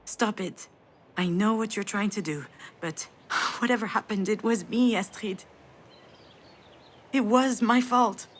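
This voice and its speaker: A woman's voice: mid-range voice, unhurried, long pauses, soft background music, close to an everyday voice. A woman playing a troubled, self-doubting, grieving mother in a narrative video game, speaking introspectively. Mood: guilty, defensive. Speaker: voice actor in a visual novel game. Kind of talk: dramatic narrative game dialogue and introspective monologue.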